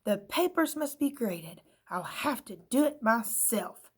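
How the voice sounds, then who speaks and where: Woman doing a bossy little red pen, stern, kinda high. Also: expressive, slow pace, breathy edge, exaggerated voice.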